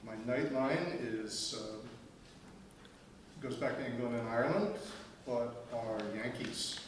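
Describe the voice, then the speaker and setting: A middle-aged man talks at an even, ordinary pitch, measured and plainspoken, with a wry dry humor. He sits well away from the microphone in a very echoey room. Clear background noise hangs under his voice. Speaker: discussion participant. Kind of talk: casual roundtable discussion. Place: room with a table, group seated. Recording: distant mic in very echoey room, noisy.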